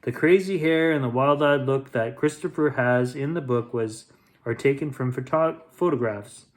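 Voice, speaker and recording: A man's voice: mid-range voice, normal speed. A man hosting a bedtime story, reading to children expressively and playfully. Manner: expressive, with playful repeated sound effects.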